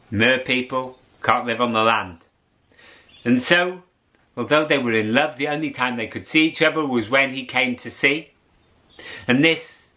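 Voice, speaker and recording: A man telling a story aloud in a theatrical way, with dramatic pauses and drawn-out words. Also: medium pitch, bright, forceful tone. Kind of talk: animated oral storytelling to an audience.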